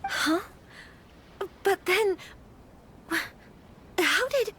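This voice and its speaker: A woman's voice: very high voice, expressive, very slow, bright, forceful tone, full character voice. A woman playing an earnest, conflicted young noblewoman in a video game, speaking politely and with some hesitation. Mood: surprised, unsure. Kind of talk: scripted video game dialogue.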